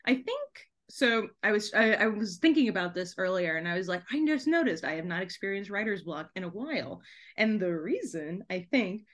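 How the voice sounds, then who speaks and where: A young woman speaks as a guest in a relaxed interview. Her voice sits at a middle pitch, and she talks in an animated, chatty way, often dropping in "like" as she thinks aloud. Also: moderate pace. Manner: chatty, animated, frequent "like" and laughing asides. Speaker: podcast guest, debut author. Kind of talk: casual chat interview.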